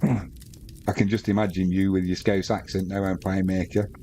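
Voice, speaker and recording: A man's voice: sits low, flat delivery, moderate pace, dark tone, slight background noise. A man with a Manx accent hosting a live sports stream, chatting casually and reading out viewer comments between bits of match commentary.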